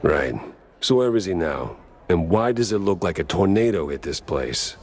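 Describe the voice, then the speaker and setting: Low, deadpan noir detective. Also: male voice, normal speed. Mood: dry, weary. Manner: deadpan, sardonic. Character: hard-boiled, wry, world-weary male private detective mouse. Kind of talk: noir game narration and dialogue.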